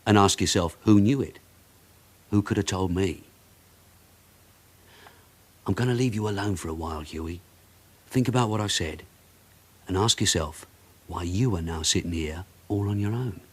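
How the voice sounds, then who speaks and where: Low-voiced, shrewd police sergeant. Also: male voice, moderate pace, pauses often, slightly rough. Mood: pressing, menacing. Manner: controlled, pressing, needling with questions. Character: shrewd, calculating male police sergeant interrogating suspects. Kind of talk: radio drama acting.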